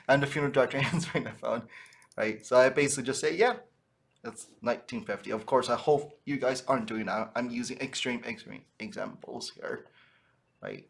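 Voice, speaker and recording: Man, mid-pitched voice, informal trainer, conversational explaining, frequent questioning tags. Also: creaky. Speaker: sales trainer for funeral businesses. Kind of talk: informal instructional talk to camera.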